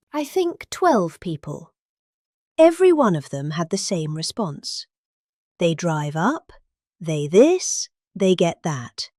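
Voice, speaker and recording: A woman's voice: medium pitch, darkish tone, no room sound, studio quality. A middle-aged woman with a British accent, giving a comic dramatic reading of a rambling speech with exaggerated emphasis. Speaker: reader of a speech.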